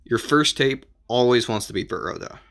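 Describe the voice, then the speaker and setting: A man giving casual advice as an amateur video creator, explaining in an informal, halting and repetitive way. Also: mid-range voice, animated, a bit bright.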